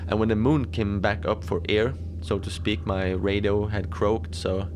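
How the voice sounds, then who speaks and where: A man's voice: lowish voice, steady tone, measured pace, darkish tone, a little hiss or hum, lightly acted. A man playing an unflappable lone facility manager at an Antarctic station, rambling casually and wryly through a cozy horror audio diary. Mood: mildly worried, unhurried. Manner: casual rambling, wry understatement, small chuckles. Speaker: host and narrator of a fiction podcast.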